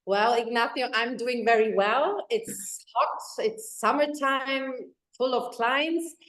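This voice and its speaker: A woman's voice: fairly high pitch, takes it slowly, a bit bright. Italian woman with a German-tinged accent, echoey room. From Italy. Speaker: real estate executive, guest. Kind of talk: interview answers.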